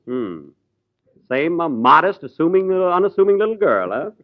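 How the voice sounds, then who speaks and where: A man's voice: fairly high pitch, sing-song, moderate pace. A man hosting a 1940s radio comedy show, with wry, indignant comic timing. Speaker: comedian host. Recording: dull old radio broadcast recording. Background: studio audience laughter.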